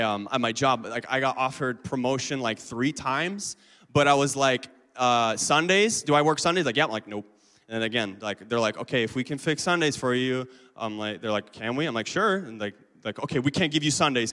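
A man's voice: medium pitch, fairly bright tone. A man in his twenties giving a casual, chatty sermon full of fillers and asides. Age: 20s. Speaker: lay preacher. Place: church hall. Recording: reverberant hall.

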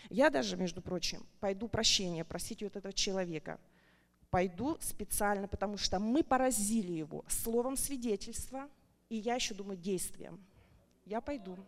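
Emotional woman giving testimony in a hall. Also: moderate pace. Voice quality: animated and heartfelt, pitch rising high when excited. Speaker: church member giving testimony. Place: church retreat meeting hall. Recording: long reverb of a large hall.

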